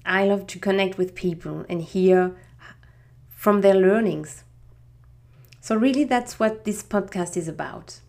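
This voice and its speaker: A woman's voice: mid-range voice, gravelly, darkish tone. A middle-aged woman from France with a French accent, a native French speaker, hosting a podcast and telling her own story in a reflective way, often restarting her sentences. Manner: unhurried, reflective, with frequent restarts. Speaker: podcast host.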